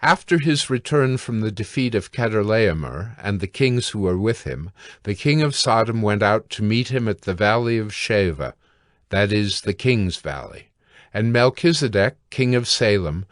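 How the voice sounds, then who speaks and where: A man reads scripture as a narrator. His voice is low and his delivery slow, measured and deliberate. The recording is dry and close. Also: lively intonation, no echo.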